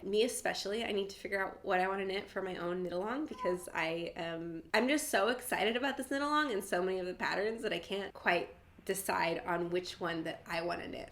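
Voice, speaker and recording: A woman hosting her own knitting channel, her voice a little nasal and stuffed up from a cold. She talks in an easygoing, chatty way, dropping in "like" as she goes. Her pitch sits in the middle range for a woman. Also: a bit bright. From Southern California. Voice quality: slightly nasal. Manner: friendly and chatty, frequent "like" fillers and light laughs. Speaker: knitting vlogger, host. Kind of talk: casual chatty vlog.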